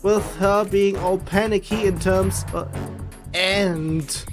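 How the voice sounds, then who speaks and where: Casting: halting gameplay commentator, unhurried and casual, mid pitch over game music. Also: steady tone. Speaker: game streamer.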